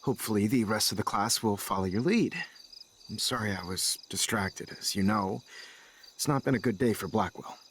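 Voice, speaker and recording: An American man playing a composed, stern high school teacher in a video game, speaking formally and firmly. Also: a little hiss or hum, close to an everyday voice. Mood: subdued. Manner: measured, formal, firm.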